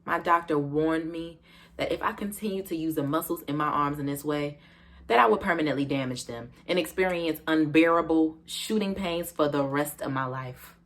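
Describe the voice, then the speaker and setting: A woman with an American accent performing an aggrieved, self-justifying wife in a dark comic stage monologue, confiding and dramatic. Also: low voice, normal speed. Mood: aggrieved, emphatic.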